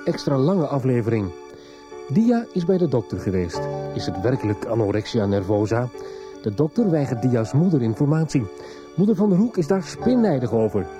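A male narrator delivers a scripted radio soap recap. His pitch swings widely and dramatically, and music plays clearly underneath him. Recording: 1990s radio broadcast with music behind. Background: loud music bed.

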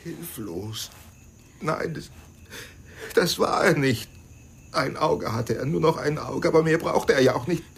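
A man's voice: fairly high pitch, measured pace, creaky, pauses often, slightly rough, full character voice. An actor playing a tender-hearted, loyal man in a radio crime drama, speaking earnestly, hesitantly and with deference. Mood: shocked, grieving.

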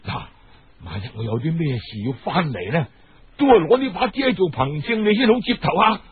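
A man doing a hushed, shady Cantonese go-between. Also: a little high, big pitch swings, fairly quick, slightly rough, full character voice. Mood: hushed, urgent. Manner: hushed, secretive, insistent. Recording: muffled, band-limited radio-style recording.

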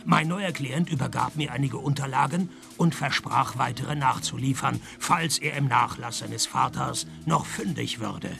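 An actor playing a cynical, wisecracking private detective in a radio play, deadpan and sarcastic, with a Swiss Standard German accent. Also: male voice, mid-range voice, unhurried, creaky, few pauses, over music. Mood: dry narrating. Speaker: detective and first-person narrator. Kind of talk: radio play dialogue and scripted narration.

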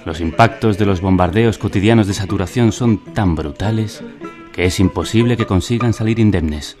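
An actor playing a grave, ominous male narrator in a radio drama, speaking solemnly and deliberately. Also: lowish voice, music in the background, lightly acted. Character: grave omniscient male narrator observing brainwashed friends.

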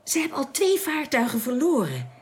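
A woman's voice: high voice, huge pitch swings, normal speed. A woman playing a firm, informed guide from an alien world in a science fiction radio drama, speaking instructively. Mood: eager, informative.

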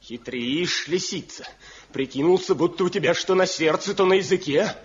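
A man's voice: high-pitched, moderate pace, slight background noise, lightly acted. An actor playing a proud, vengeful young craftsman in a theatrical, bitter Soviet radio drama style. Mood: bitter, menacing. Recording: dull vintage radio recording, crowd behind.

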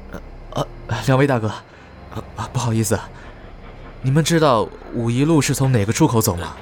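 A man's voice: medium pitch, animated, moderate pace, darkish tone, slight background noise, natural voice. A man playing an earnest, anxious young man in an eerie audio drama, speaking hesitantly. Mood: urgent, anxious.

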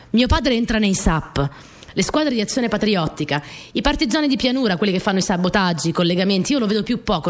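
A woman playing a stubborn, eager sixteen-year-old partisan girl in a theatrical radio monologue, telling her wartime story with dramatic, halting repetitions. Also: mid-range voice, natural voice. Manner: dramatic, halting delivery with repeated phrases.

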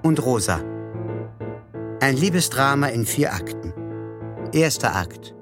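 An actor playing a formal, deliberate announcer of absurd mini dramas in a radio play. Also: male voice, medium pitch, very animated, music in the background. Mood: solemn.

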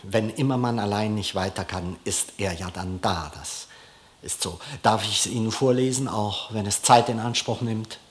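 A man playing a reflective diarist in a 1950s radio play, reading and narrating deliberately in a literary style. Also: on the low side, close to an everyday voice. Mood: reflective, confiding. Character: reflective male diarist reading a memorandum aloud. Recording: echoey room, 1950s radio recording.

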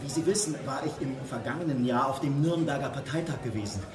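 An actor playing a fat, rapturous Nazi fanatic in a radio drama, repeating himself with emphasis. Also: male voice, mid-range voice, fast talker, a crowd in the background, close to an everyday voice. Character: fat, gushing Nazi fanatic, starstruck by his leader. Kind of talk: German radio drama acting. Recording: crowded pub noise behind.

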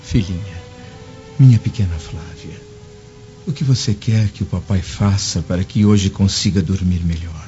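A man's voice: low voice, normal speed, dark tone, natural voice. A man playing a proud Roman senator and anguished, doting father in a radio drama, with formal, emotional diction. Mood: tender, pleading. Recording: dull lo-fi audio over music.

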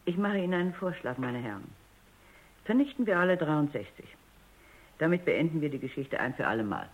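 A woman playing a stern, sharp-minded robot psychologist with a blunt, probing manner in a German radio drama, on a thin radio recording. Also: sits low, big pitch swings, a little fast, breathy edge, exaggerated voice, rough recording. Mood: decisive, demanding.

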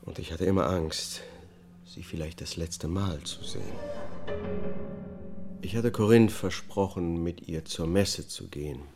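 An actor playing a haunted, detached murder defendant confessing in a radio drama. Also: male voice, sits low, a little slow, creaky, over music. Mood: subdued, haunted. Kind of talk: German public radio drama acting, confessional testimony.

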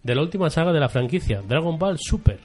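Fast-talking man reading news. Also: fairly high pitch, animated, darkish tone, faint music behind. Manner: fast, joking, interrupts. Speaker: podcast co-host reading news. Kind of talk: casual podcast banter, mock newsreader delivery.

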